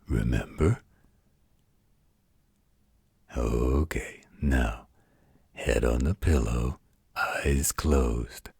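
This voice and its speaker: A man voicing a gruff, protective werewolf guardian in an intimate bedtime roleplay, coaxing with patient, mock-stern fondness. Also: a little mellow, no echo, clean studio sound, heavily acted. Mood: gently chiding, soothing. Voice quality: very deep, gravelly, husky. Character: gruff, protective werewolf guardian, fondly exasperated parental figure.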